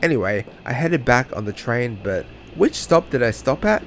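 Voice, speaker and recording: A man's voice: medium pitch, animated, moderate pace. Vlogger man doing chatty voice-over, swears now and then, faint noise. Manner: chatty, self-mocking, swears casually. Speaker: travel vlogger. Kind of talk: casual voice-over narration.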